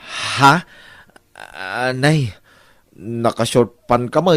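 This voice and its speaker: A man's voice: mid-range voice, expressive, drawn-out words, fairly bright tone, clear, resonant tone, dry recording, clean studio sound, soft background music. A man acting a lovestruck, flustered, stammering suitor in an Ilocano radio comedy drama, with an Ilocano accent. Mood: flustered, giddy.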